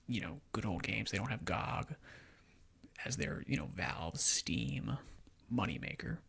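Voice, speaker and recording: A man giving soft-spoken ASMR gaming commentary in a breathy half-whisper, rambling and opinionated in a conversational way. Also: medium pitch. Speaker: gaming video host.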